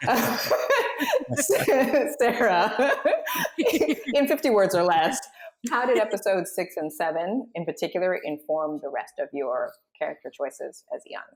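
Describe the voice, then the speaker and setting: A woman's voice: on the low side, measured pace. Jokey woman host in an echoey room. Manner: jokey, affectionate, laughs readily. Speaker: host and voice director. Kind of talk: guiding a casual cast conversation. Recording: roomy echo with light noise and clicks.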